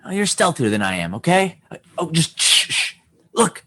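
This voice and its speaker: A blustering schoolboy played by an adult in a comedy radio play, easily flustered and quick to get defensive. The voice is husky and jumps up and down a lot. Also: mid-range voice, very animated, bright, forceful tone. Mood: sneaky, impatient. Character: flustered, bossy schoolboy with a secret crush.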